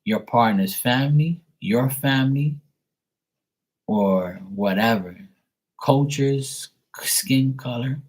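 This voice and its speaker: A man from Bermuda speaks in a husky, slightly breathy voice at an ordinary pitch. He rants bluntly and profanely to his live stream audience. His talk rambles on, casual and unscripted. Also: slow pace, darkish tone.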